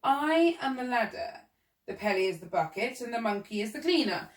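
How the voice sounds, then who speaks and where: Woman as a calm, courteous storybook giraffe, echoey. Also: fairly high pitch, normal speed, lightly acted. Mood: calmly assured. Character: calm, courteous giraffe, gentle leader of animal friends. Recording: roomy echo, distant from the mic.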